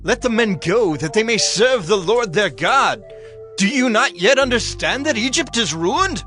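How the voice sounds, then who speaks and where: A man's voice: high-pitched, animated, a little slow, sharp tone, soft background music, heavily acted. An actor playing an anxious, exasperated servant of a king in an audio Bible drama, urgent and protesting.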